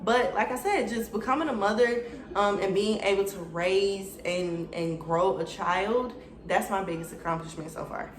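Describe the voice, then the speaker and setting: A woman's voice: medium pitch, moderate pace, echoey room, a little hiss or hum. A woman in her twenties from Atlanta with an Atlanta accent, chatting casually to her viewers in a get-to-know-me video. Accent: Atlanta Southern US. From Atlanta, Georgia, USA. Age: 20s. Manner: chatty, slangy, addresses viewers directly. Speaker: YouTuber.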